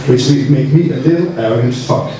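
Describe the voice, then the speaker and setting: A man's voice: mid-range voice, a little fast. Danish man speaking in an echoey room. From Copenhagen, Denmark. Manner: irreverent, joking, sweary. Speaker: conference keynote speaker. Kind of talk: informal conference talk to an audience. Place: echoey indoor conference room. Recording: echoey room from far off, faint noise.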